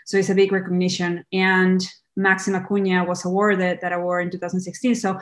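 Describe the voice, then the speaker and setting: A young woman from Peru talks as a guest on a film podcast. Her voice sits a little low, and she speaks earnestly, often restarting words as she thinks aloud. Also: completely flat delivery, brisk. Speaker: documentary film director, guest. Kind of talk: podcast interview.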